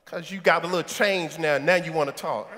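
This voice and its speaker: A middle-aged man preaching a sermon in a large church sanctuary on a headset mic, shouting at the peaks and tossing quips at the congregation. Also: a little high, fairly quick, a crowd in the background. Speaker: pastor. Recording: echoey sanctuary with congregation and organ. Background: congregation and soft music.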